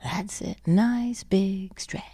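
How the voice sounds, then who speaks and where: A woman guides a sleep meditation in a very breathy, husky half-whisper. She speaks slowly and soothingly, pausing often and offering gentle encouragement. The sound is close and dry. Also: medium pitch, no echo.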